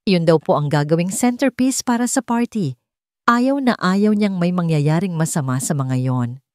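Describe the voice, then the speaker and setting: A woman acts out an older manservant in an audio drama. He is loyal and deferential, with polite, concerned speech. The delivery swings in pitch and is recorded close and dry. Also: expressive, moderate pace, a little mellow, dry recording, lightly acted. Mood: conspiratorial. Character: older male servant, respectful, worried, loyal.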